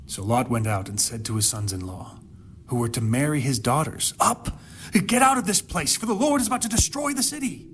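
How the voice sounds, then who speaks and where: A man hosting a Bible podcast, delivering dramatic scripted commentary and scripture reading with emphatic repetition, rhetorical questions and deliberate pauses. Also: medium pitch, expressive, a bit bright, a little hiss or hum. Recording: music playing under the voice.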